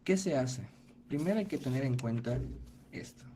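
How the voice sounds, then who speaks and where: Mellow-voiced man teaching step by step. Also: medium pitch, expressive, normal speed, a little hiss or hum. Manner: methodical, step-by-step, checks in with "ok?". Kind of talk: online class explanation.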